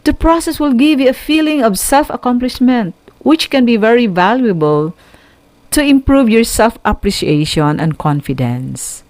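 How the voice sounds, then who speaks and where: A woman with a Filipino accent giving an instructional radio lesson in a deliberate, teacherly way. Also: a little high, expressive, darkish tone, no echo.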